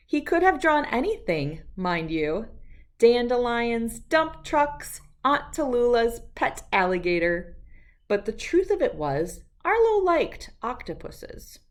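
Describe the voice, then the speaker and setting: A woman reading a children's storybook aloud with expressive, deliberate, emphatic phrasing. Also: on the high side. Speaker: children's book read-aloud host.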